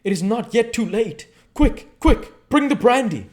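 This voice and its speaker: Man voicing an urgent, high-pitched commanding old professor. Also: expressive, measured pace, a little mellow. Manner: exclamatory, commanding, stilted foreign phrasing. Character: urgent older male professor, commanding and stern. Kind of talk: audiobook character voice.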